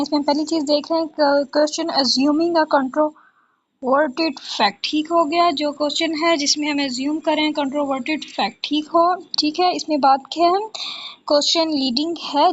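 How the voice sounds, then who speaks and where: A woman's voice: sits high, steady tone. A woman with a Pakistani accent lecturing as a law teacher, reading out notes and explaining them repetitively, often asking and answering her own questions. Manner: switches between Urdu explanation and slowly read English. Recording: dull, lo-fi close recording.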